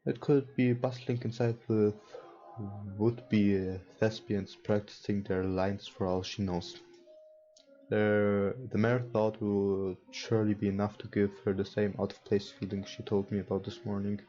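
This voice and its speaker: A non-native English-speaking man hosting a let's play, reading game text aloud and commenting casually in a hesitant, halting way. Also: lowish voice, flat delivery, mellow. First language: not English. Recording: dull lo-fi mic, music behind. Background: game music.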